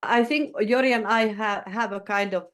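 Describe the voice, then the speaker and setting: A woman taking part in a discussion on language learning, chatty and quick to agree. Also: medium pitch, fairly bright tone. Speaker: teacher or educator. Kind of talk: conversational discussion.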